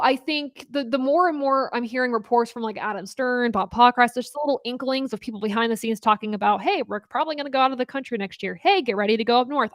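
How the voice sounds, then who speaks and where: Young woman host, chatty live-stream talker, fairly high voice, dry close sound. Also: fairly bright tone, dry recording. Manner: chatty, upbeat, laughs often, many fillers and restarts. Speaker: host of a live racing talk show. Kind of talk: casual live-stream chat answering viewer questions.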